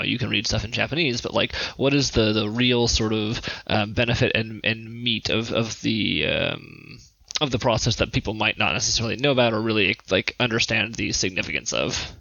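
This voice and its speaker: Male host, crackly fry and forceful edge, conversational, dry slightly lo-fi sound. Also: on the low side, no echo. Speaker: podcast host. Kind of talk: casual interviewing.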